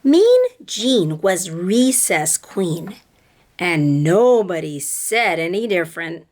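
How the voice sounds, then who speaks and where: A woman's voice: fairly low pitch, sing-song, slow, darkish tone. A woman reading a picture book aloud to children like a teacher, speaking to them directly with dramatic emphasis. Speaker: read-aloud storyteller, teacher-like.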